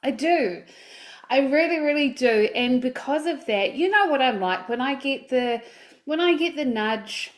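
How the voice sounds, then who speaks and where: A woman in her forties speaking in a slightly higher register, chatty and upbeat as she talks to her livestream viewers. She coaches people and rambles warmly through restarts and fillers. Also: animated, unhurried. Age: 40s. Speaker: life coach. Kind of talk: casual livestream talk.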